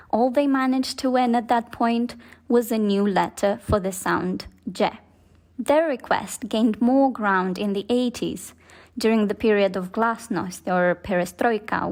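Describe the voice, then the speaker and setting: A woman's voice: medium pitch, a little mellow. A young woman from Moldova, a native Romanian speaker, reading a scripted personal essay as a writer and journalist. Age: young adult.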